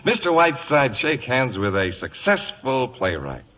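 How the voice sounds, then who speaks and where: A drunk, elated young male playwright from vintage radio comedy. His bright voice bounces up and down effusively. The recording is dull. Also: medium pitch, sing-song, normal speed. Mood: tipsy elation. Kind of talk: 1940s radio comedy acting.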